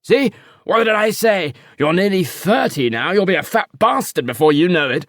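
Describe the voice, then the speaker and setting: A boorish, braying uncle in an audiobook, as a male reader puts him on. His voice jumps high and loud, and his pitch swings wildly. The recording is close and dry. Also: expressive, normal speed, no echo. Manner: braying, chortling. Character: loud boorish uncle, middle-aged man.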